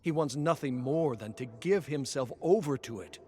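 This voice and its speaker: A man narrating a scripted tale in a dramatic, brooding, theatrical way, often dropping into hushed tones. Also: mid-range voice, normal speed.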